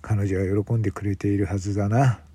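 A man playing a grave, weary police detective in a radio drama, speaking in a measured, hesitant way with sighs. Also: fairly low pitch, flat delivery, dark tone, breathy edge, no room sound.

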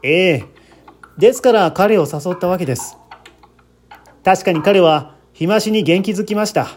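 A man's voice: high-pitched, moderate pace, slight background noise, natural voice. A man reading the part of an earnest, anxious man who politely recounts a friend's death in a dramatic radio-story style. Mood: earnest, explaining.

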